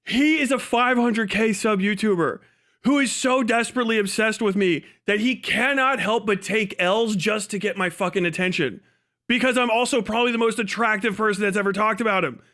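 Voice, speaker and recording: A man's voice: sits high, fairly quick, sharp tone. A man ranting on a casual livestream, mocking and incredulous, swearing heavily as he reacts to a video.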